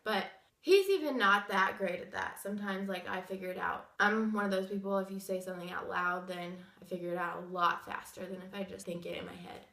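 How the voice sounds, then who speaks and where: Young woman talking while sick, wheezy bright voice, rambly chatty vlog in an echoey room. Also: medium pitch, a little fast, vocal fry. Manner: chatty, rambling with many fillers. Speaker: vlogger. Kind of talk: casual story time chat.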